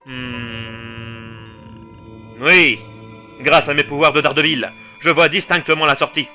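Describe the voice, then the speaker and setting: A man voicing a blind, calm knight with a dry, matter-of-fact wit in a comedic fan dub. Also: medium pitch, takes it slowly, faint music behind.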